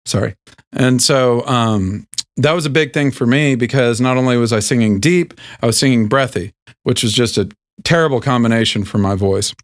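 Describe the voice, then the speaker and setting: Hombre, voz grave, acento sureño leve, presentador de clase de canto, tono suelto con muletillas, timbre limpio y resonante. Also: male voice, moderate pace. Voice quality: deep speaking voice. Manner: relaxed, self-deprecating, with many fillers and restarts. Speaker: host. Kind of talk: casual singing lesson.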